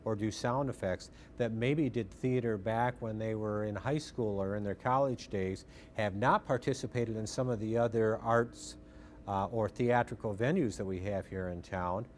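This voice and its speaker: A man's voice: lowish voice, moderate pace, a little hiss or hum. A middle-aged man recalling things in an interview as a radio theater founder. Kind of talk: interview recollection.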